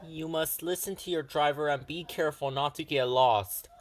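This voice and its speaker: A man's voice: a little high, close to an everyday voice. A man voicing an old male steam engine, a careful, fatherly adviser with a cautioning manner, in a storybook. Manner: slow, cautioning.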